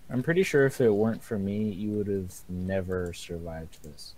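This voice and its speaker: A man's voice: low voice, expressive, normal speed, a little mellow, poor audio. A young man gaming with a friend, making dry, teasing remarks. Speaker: gaming channel co-host. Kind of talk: casual gameplay commentary.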